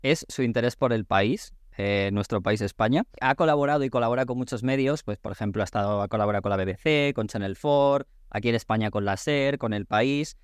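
A man's voice: mid-range voice, fairly quick, hardly any pauses, smooth, no echo, clean studio sound. A man from hosting a podcast interview, chatty and reassuring, rephrasing his questions as he goes. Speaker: podcast host.

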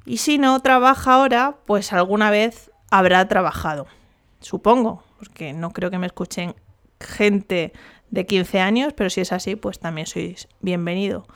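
A woman hosts a casual solo podcast. She is chatty and rambles, with jokey asides. Her voice sits at a middle pitch with a gravelly, creaky texture. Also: unhurried, fairly bright tone. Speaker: podcast host.

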